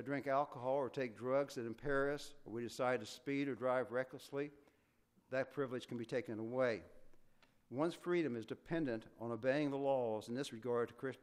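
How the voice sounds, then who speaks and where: An older man, preaching a sermon as a guest speaker, with a soft voice and an earnest, measured delivery that has occasional restarts. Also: mid-range voice, smooth. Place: church sanctuary.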